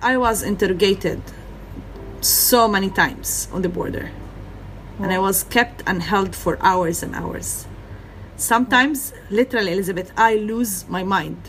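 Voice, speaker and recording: A woman's voice: medium pitch, unhurried, fairly bright tone, soft background music, rough recording. A young woman from Gaza, speaking in earnest over a video call with repeated phrases and "you know". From Gaza, Palestine. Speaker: interviewee. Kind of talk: earnest personal testimony.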